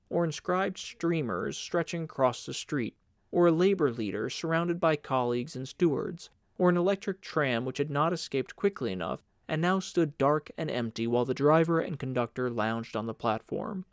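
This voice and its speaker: A man's voice: mid-range voice, no room sound, studio quality. A man narrating a literary audiobook from a script.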